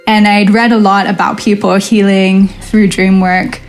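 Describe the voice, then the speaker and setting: A woman's voice: medium pitch, flat delivery, a little slow, faint music behind. Chatty woman telling a dream story. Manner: chatty storytelling, acts out her own past thoughts in quotes. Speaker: lucid dream researcher and coach, guest. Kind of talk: casual podcast conversation.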